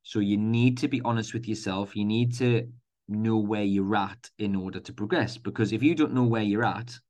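A man with a broad Geordie accent talks casually into his own podcast mic. His voice sits on the low side. He rambles a little, with fillers and restarts, in a friendly, motivational way. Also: normal speed, darkish tone. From North East England. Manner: chatty, informal, many fillers and restarts. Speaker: podcast host.

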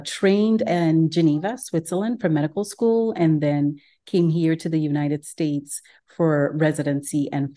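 Low, mellow-voiced woman from Ghana. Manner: earnest, steady, frequent "right?" and "um". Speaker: gastroenterologist and practice owner, guest. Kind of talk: interview answers. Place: home.

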